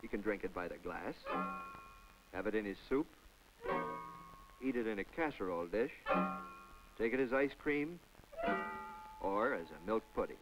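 A man's voice: on the low side, lively intonation, normal speed, long pauses, poor audio. A man narrating a public information film in a scripted, chatty voice-over with folksy asides to the viewer. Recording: old film soundtrack with faint music.

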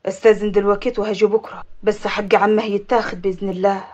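A woman voicing a resolute, protective young man with an Upper Egyptian accent, speaking firmly and deliberately in an audio story. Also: medium pitch, flat delivery, a little mellow, dull audio, pitched below the performer's own voice, lightly acted. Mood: grave, determined.

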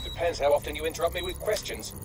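A man's voice: fairly high pitch, fairly even intonation, quick pace, over loud music, close to an everyday voice. A man voicing a witty, unflappable artificial intelligence in a video game, with a British accent and a sardonic manner. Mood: dry, wry. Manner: sardonic, dry wit. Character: witty, sarcastic male AI assistant, unflappable. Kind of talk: video game cutscene acting.

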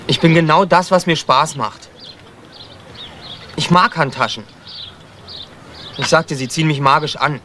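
Brash young drifter, bright-voiced. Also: male voice, on the high side, unhurried, long pauses, slight background noise. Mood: cocky, curious. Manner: defiant, brash, slangy. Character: young homeless man, cheeky, irritable petty thief. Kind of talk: German crime radio play acting.

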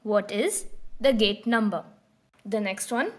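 A woman hosting her own channel, giving a scripted beginner lesson with calm, clear prompts. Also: mid-range voice, slow pace. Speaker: language teacher and channel host.

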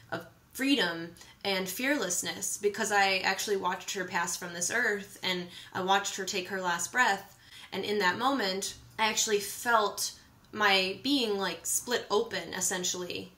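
Female vlogger, thirties, bright voice at medium pitch, chatty and animated with playful asides. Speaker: vlogger and musician. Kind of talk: casual chatty vlog to camera. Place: small home kitchen, indoors.

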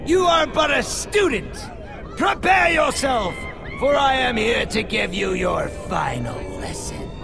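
Shouting, bombastic game villain. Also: male voice, very high voice, very animated, slow pace, gravelly, exaggerated voice. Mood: grand challenge. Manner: bombastic, theatrical, taunting. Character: boastful male explosives champion, brash, taunting villain. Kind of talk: animated video game acting. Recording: echoing, loud music and crowd behind.

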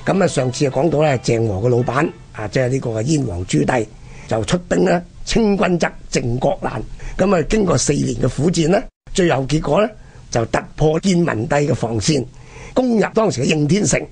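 A man with a husky, gravelly voice and a Hong Kong Cantonese accent hosts, telling history in colloquial, emphatic style with rhetorical questions. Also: on the high side, expressive, normal speed, a little mellow, soft background music. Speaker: radio programme host. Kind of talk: casual spoken history storytelling.

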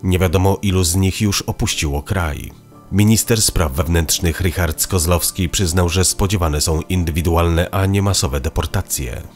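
A man reading scripted news narration in an even, measured way as a voice-over. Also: low-pitched. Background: faint background music.

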